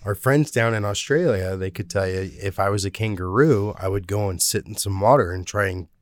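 A man with a deep, low voice chats casually about family life. He talks bluntly, swears freely and laughs often. The sound is dry and close to the mic. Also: a little fast, no room sound. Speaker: father, co-host. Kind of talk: casual chat.

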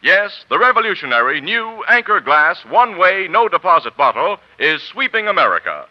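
A man's voice: sits high, very animated, moderate pace. A man working as a radio announcer, delivering a scripted 1940s commercial with emphatic, polished salesmanship. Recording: muffled old radio broadcast.

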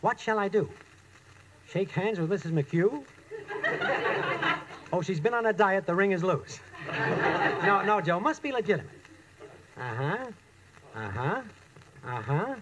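Slangy, animated small-time schemer. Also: male voice, high voice, measured pace, long pauses. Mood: sly. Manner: wisecracking, clipped slangy phrasing, calls his girl chicken. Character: lazy, shifty slang-talking schemer boyfriend. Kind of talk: 1940s-50s radio comedy acting. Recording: noisy old-time radio recording.

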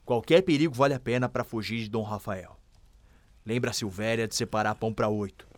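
A resolute, protective escape leader played by a male actor in a radio drama. The voice is fairly low, firm and colloquial, recorded close and dry. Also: a little fast, no room sound, close to an everyday voice. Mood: determined. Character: determined enslaved man, protective older brother, escape leader.

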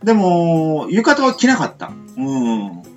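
A man hosts a loose, chatty radio show. His intonation leaps up and down wildly as he tells things like little acted scenes, with soft guitar music underneath. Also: on the high side, slow pace, muffled recording. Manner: animated storyteller, acts out imagined reactions. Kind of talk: casual chat. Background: background guitar music.

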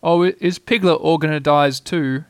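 A man voicing a kindly, slow-witted toy bear who thinks aloud in a bumbling, earnest way for a storybook reading. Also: on the high side, dry recording, studio quality. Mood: puzzled.

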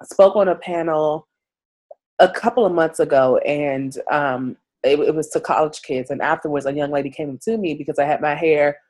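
A woman journalist talks through an interview in a loose, conversational way, full of fillers. She is fighting a cold, so she sounds stuffy and keeps clearing her throat. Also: deep voice, normal speed, a little mellow. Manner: chatty, many "like" and "you know" fillers. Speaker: journalist guest.

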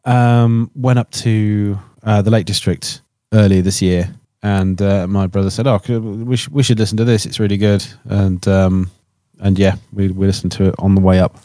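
A man's voice: on the low side, steady tone, normal speed, hardly any pauses, warm tone, no echo, studio quality. A man chatting casually as a podcast panellist, hesitant and repeating his phrases as he recommends things. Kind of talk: casual chat.

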